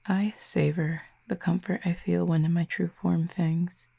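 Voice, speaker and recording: A woman voicing a calm, reserved, quietly fond cupid in an intimate audio roleplay, speaking softly in measured, formal, gently teasing phrases. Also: lowish voice, exaggerated voice. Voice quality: soft, breathy, hushed.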